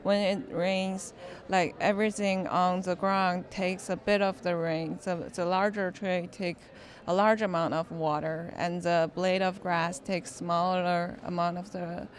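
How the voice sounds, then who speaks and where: Slow, low-pitched woman director. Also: flat delivery. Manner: thoughtful, halting, with restarts and "like". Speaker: film director. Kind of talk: interview answers.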